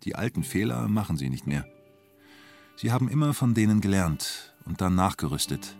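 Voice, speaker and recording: Guy with a low voice narrating a radio documentary, short plain sentences, bit of music behind. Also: very animated, moderate pace, creaky, darkish tone.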